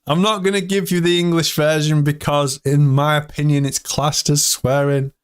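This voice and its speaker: A man's voice: a little high, little pitch movement, moderate pace, clean tone. Laughing, jokey man in his thirties. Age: 30s. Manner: jokey, laughs often, chatty. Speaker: live stream host. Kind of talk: casual live-stream chat. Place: hot room indoors.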